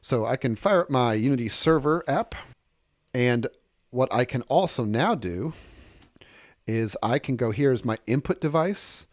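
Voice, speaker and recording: Male tutorial presenter, mid-pitched, informal, methodical step-by-step explainer who restates key points; dry studio-like sound. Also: expressive, unhurried, no room sound. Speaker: software instructor and demonstrator. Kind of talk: informal screen-share software tutorial.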